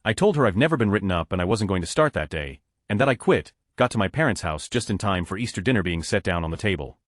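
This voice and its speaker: A man narrating online stories aloud in a brisk, matter-of-fact reading. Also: sits low, expressive, no room sound, studio quality.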